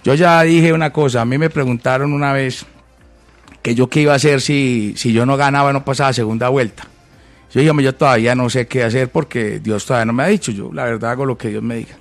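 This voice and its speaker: A man's voice: medium pitch, steady tone, fast talker, soft background music. Blunt man debating. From Colombia. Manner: blunt, insistent, interrupts often. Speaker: presidential candidate. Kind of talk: heated political debate.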